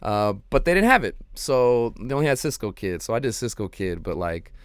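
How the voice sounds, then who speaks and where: A man hosting his own show, chatting casually and rambling with lots of ums and slang, his voice slightly hoarse. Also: mid-range voice, very animated, fairly quick, dry recording. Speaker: podcast host. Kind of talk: casual solo livestream chat.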